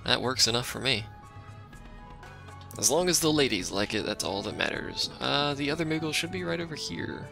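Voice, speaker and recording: A man with a deep voice giving casual, rambling commentary while he plays a game and chats with his stream viewers, offhand and self-deprecating. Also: animated, moderate pace, creaky, music in the background. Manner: chats with a live stream chat. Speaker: let's play streamer.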